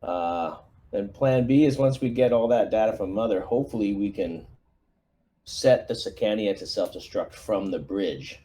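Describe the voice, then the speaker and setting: A man speaking as a squad commander in a tabletop roleplaying game, briefing a plan in a halting, thinking-aloud way. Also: fairly low pitch, dark tone. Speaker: player acting as squad commander.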